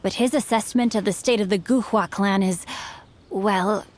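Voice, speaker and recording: A woman's voice: mid-range voice, normal speed, natural voice. An actress playing an earnest, courteous teenage boy swordsman with formal, florid, theatrical speech in an anime game. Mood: reflective.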